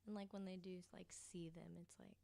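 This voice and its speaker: A woman's voice: on the low side, flat delivery, normal speed, vocal fry, dark tone. A woman telling stories in a casual, hesitant way as a podcast guest, as if piecing details together while reading. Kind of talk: casual storytelling chat.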